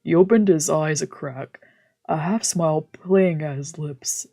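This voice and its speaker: Husky, mellow woman narrating a story. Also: sits low, a little slow. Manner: stumbles over words while reading. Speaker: streamer. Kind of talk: scripted visual novel narration read aloud. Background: game music.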